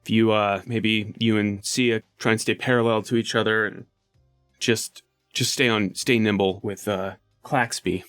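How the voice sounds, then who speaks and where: A man playing a tabletop game, casually and haltingly describing his character's actions as he pieces them together. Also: lowish voice, fairly even intonation, fairly bright tone, smooth, no room sound, studio quality, faint music behind.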